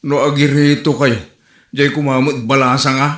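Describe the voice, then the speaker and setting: A man with an Ilocano accent plays a single son in a comedy skit, complaining and exasperated. His pitch stays in a middling range, and the room around him is echoey. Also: little pitch movement, normal speed, fairly bright tone. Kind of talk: comedic dialogue acting.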